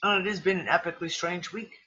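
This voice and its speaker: A man's voice: high voice, steady tone, fast talker, pushed and bright, dull audio. A man hosting a casual livestream chat, losing his voice and sniffling, who talks in an exclamatory, rambling way and swears freely. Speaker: livestream discussion host. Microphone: computer mic on a video call.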